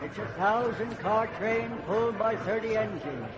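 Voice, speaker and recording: An actor playing a folksy, relentless, ghostly train conductor in an old-time radio horror play, talking in a brisk, bossy way. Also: male voice, high voice, a crowd in the background, lightly acted. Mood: insistent, eerie. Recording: dull, very noisy old radio recording.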